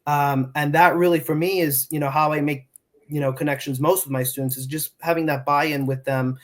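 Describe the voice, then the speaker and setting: Young male teacher, raised in New York, Portuguese as his first language; earnest interview answers, lots of "you know", slightly high voice. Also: steady tone, fairly quick. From New York, United States. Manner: earnest, with many fillers and restarts. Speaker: middle school Spanish teacher.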